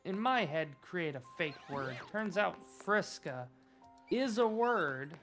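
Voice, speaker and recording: An American man hosting a game stream, chatting casually and enunciating deliberately, with a habit of saying "like" often. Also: high-pitched, lively intonation, fairly bright tone, faint music behind. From United States. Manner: deliberate enunciation, frequent "like" fillers. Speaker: streamer host. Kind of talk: casual chat while gaming.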